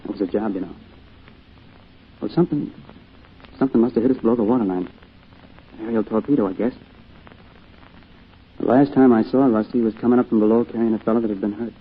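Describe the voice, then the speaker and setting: An American actor playing a young orphaned sailor, earnest, shy and polite, speaking hesitantly in 1940s radio drama style. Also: male voice, on the low side, fairly even intonation, quick pace, long pauses, breathy edge. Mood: subdued, grieving. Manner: hesitant, humble, folksy. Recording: tinny, noisy old radio broadcast.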